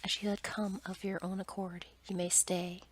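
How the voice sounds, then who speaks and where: A woman voicing a stern, formal male beast from a storybook in a breathy ASMR whisper. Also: mid-range voice, little pitch movement, lightly acted. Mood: calm, commanding. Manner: measured, formal, commanding. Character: stern, commanding male beast, lord of a palace. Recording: noticeable background noise.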